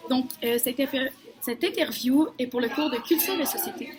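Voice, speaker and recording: A woman's voice: high-pitched, moderate pace, crowd noise. A young adult woman student interviewing someone, asking her questions politely and hesitantly, with fillers. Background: music playing.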